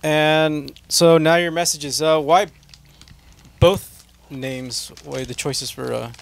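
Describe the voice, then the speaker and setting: A man hosting a casual band interview, asking relaxed questions with plenty of fillers. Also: on the high side, slow pace, creaky, slight background noise. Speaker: podcast host.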